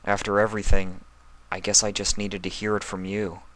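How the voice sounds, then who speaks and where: A man's voice: on the low side, monotone, brisk, a bit bright, lightly acted, rough recording. A man voicing a hurt young male pony in a story reading, earnest and emphatic. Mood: forgiving.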